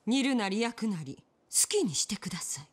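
An actress playing a poised, determined innkeeper with a Kyoto accent in a period game drama, genteel, polite and deliberate. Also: female voice, mid-range voice, very animated, breathy edge. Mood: defiant, resolute.

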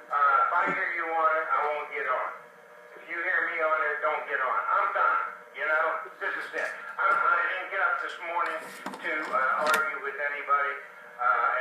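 A man of seventy-one with an American accent, arguing over a CB radio in a halting, defensive, insistent way. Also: on the high side, far from the mic. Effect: CB radio transmission. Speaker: retired steelworker and radio hobbyist. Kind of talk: argumentative talk over CB radio. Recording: echoey noisy CB radio picked up from afar.

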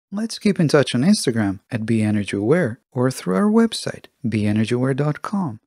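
A man hosting a podcast alone, reading scripted narration in a measured, deliberate manner. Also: mid-range voice, gravelly, mellow, no echo, clean studio sound. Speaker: podcast host.

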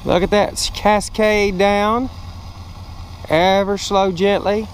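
A man's voice: high-pitched, flat delivery, slow. A middle-aged American man explaining his work in a casual, plainspoken way as he demonstrates it to camera. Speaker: roof cleaning contractor. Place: outdoors on a ladder at a roofline. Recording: outdoor action camera, wind and machinery noise.

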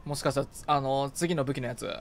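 A man casually chatting and reacting aloud as he plays a video game with a friend, excitable in manner. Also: medium pitch, fast, no room sound. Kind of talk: casual co-op gaming chat. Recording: game music behind the voice. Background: video game music.

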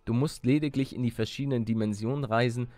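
A man voicing a courteous, scheming duck scientist in a video game, speaking in formal, measured phrases. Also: mid-range voice, fairly even intonation, darkish tone, no echo, close to an everyday voice. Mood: businesslike. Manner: formal, measured, deliberate wording.

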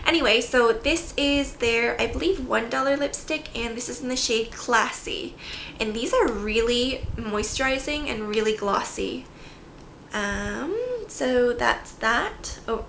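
A woman's voice: on the high side, sharp tone. A woman in her twenties chatting casually to camera as a beauty vlogger, often breaking off into little asides. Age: 20s. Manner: chatty, self-interrupting asides. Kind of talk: casual chat to camera showing a product haul. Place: small bare-walled room indoors. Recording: echoey room with faint background noise.

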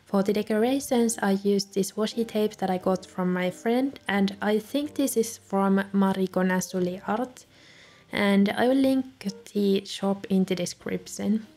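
Soft-spoken woman, Finnish native speaker. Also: medium pitch, unhurried. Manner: soft-spoken, light laughs between remarks. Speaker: journaling video creator. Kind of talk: calm voice-over narration. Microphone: voice-over recorded separately.

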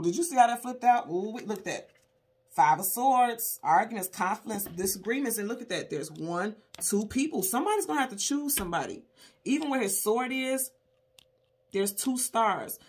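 A woman with a slightly husky, mid-pitched voice leads a tarot reading for her viewers. She talks casually and intuitively, stressing her points and circling back to them for emphasis. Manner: conversational, emphatic, often repeating points for emphasis. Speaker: tarot card reader and host.

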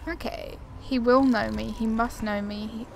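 A woman gamer with a fairly high voice and a lot of vocal fry. She commentates casually, chatty and informal, over faint game ambience. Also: fairly even intonation, a little slow, background noise. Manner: chatty, informal, self-deprecating. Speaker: gaming YouTuber. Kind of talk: casual gameplay commentary, reading quest text aloud. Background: video game ambience.

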